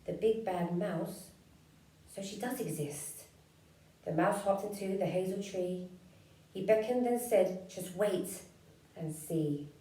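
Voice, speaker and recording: A woman reads a rhyming picture book to children in a slow, even rhythm with a mellow tone. She sounds far from the mic in a very echoey room. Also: mid-range voice. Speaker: children's story reader.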